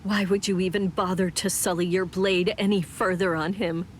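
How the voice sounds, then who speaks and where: A woman's voice: on the low side, fairly even intonation, moderate pace, a little hiss or hum, close to an everyday voice. An actress playing a proud young noblewoman warrior in an anime game, formal, imperious and dramatic, with stern self-sacrifice. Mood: grave, resigned. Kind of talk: English anime game dub acting.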